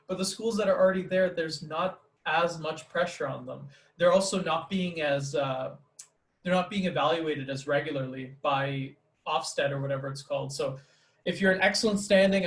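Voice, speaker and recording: A man talking casually with a friend on a podcast, his speech loose and rambling and full of "like." His voice sits a little higher than most men's, and the room gives it a noticeable echo. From Canada. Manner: rambling, many fillers like "like". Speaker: podcast host, teacher. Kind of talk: casual conversation.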